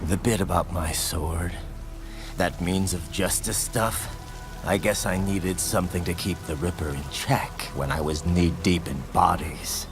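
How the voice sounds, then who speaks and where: Game villain-hero, dark cyborg swordsman, deep and creaky, menacing, over loud music. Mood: dark confession. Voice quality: gravelly, breathy growl. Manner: slow, brooding, menacing delivery. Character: grim cyborg swordsman giving in to his inner killer. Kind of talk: dramatic video game cutscene acting.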